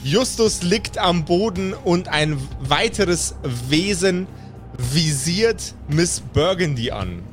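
A man's voice: sits high, animated, slow. A man narrating an improvised tabletop fantasy game as game master, with dramatic, descriptive storytelling. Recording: music playing behind the voice. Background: background music.

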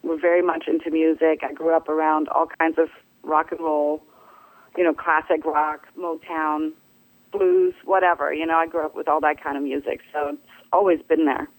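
A woman from Germany, a bass player, answering interview questions in a relaxed, hesitant way with many fillers. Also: normal speed, no echo, low-quality recording. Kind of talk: casual phone interview.

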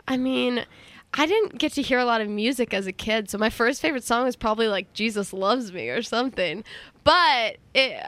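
A woman's voice: on the high side, a bit bright, clear, resonant tone, no echo. A young woman, a singer, chatting casually in an interview, with lots of fillers, restarts and laughter.

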